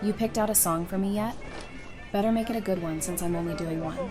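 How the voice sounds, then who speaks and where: A woman acting a brisk, casual woman leader in an audio drama. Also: fairly low pitch, music in the background, crowd noise, close to an everyday voice. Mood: playful. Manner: casual, direct.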